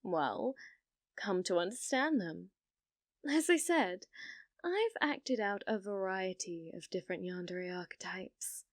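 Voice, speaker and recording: A woman performs a possessive, flirty yandere on a paid date, in intimate ASMR style. Her delivery is slow and deliberate, teasing and coy, at a medium pitch for a woman. The sound is very dry, with no room around the voice. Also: expressive, no echo. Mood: playful, coaxing. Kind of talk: ASMR roleplay.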